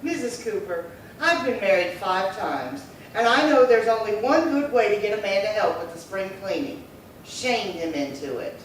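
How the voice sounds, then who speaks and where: A woman's voice: mid-range voice, big pitch swings, normal speed, lightly acted. Woman acting a wry sitcom housemaid, echoey. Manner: dry, wisecracking comic delivery. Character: wry, long-suffering housemaid in screwball radio comedy. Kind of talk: 1950s radio sitcom acting. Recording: echoey hall, distant mic, faint noise.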